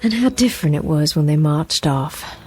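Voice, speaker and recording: Gracious Southern widow of a lost war, lowish voice, reflective and frail, radio drama with music underneath. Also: female voice, animated, moderate pace, darkish tone. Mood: sorrowful, remembering.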